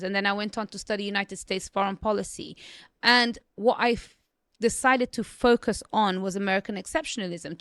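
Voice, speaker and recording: Casting: young adult woman, non-native English, self-assured podcast guest, mid pitch, dry close mic. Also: a bit bright, no echo. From immigrant raised in the UK. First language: not English. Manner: confident, emphatic, repeats "you know what I mean". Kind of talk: conversational interview.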